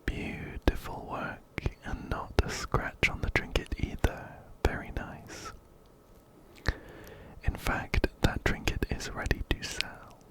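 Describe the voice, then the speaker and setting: A man whispering softly right up close to the microphone in an ASMR game playthrough, unhurried and repeating phrases as he reads dialogue aloud. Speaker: video game streamer and ASMR creator. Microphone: close-up binaural microphone, ear to ear.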